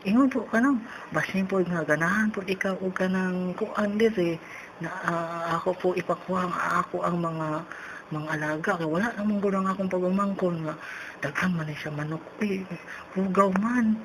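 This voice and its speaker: A woman voicing a forgetful elderly neighbour with a Cebuano accent, halting and repetitive on a prank phone call. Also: on the low side, natural voice. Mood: rambling, coaxing. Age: older. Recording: noisy phone-call recording.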